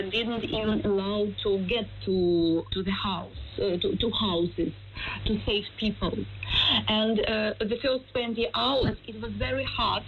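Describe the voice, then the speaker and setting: A Ukrainian-accented woman answers interview questions slowly, in halting phrases. Her pitch is average. The recording is a dulled shortwave radio signal with faint static. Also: muffled recording. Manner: halting, hesitant non-native phrasing. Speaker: news interviewee, local resident's relative. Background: static and hiss.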